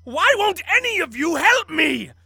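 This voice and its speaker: A woman's voice: very high-pitched, very animated, a little slow, vocal fry, sharp tone, dry recording, full character voice. A woman playing an obsessive, impassioned reanimator scientist in an audio drama, with faint noise. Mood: desperate, defiant.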